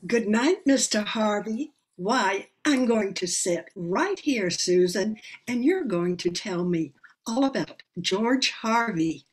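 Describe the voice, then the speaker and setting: A woman's voice: mid-range voice, expressive, takes it slowly, breathy edge. An older woman playing an affectionate, meddling aunt in a radio play, effusive and genteel, over a video call. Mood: fond, nosy. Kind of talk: old-time radio play acting. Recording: faint noise on video call.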